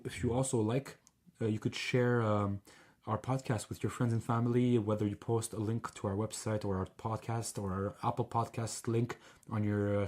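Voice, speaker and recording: Stuffy-nosed guy co-hosting a podcast, kinda low voice, lots of uhs and ums, relaxed. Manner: relaxed, hesitant with many fillers. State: congested. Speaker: podcast co-host. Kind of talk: casual chat. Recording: overdriven loud mic gain.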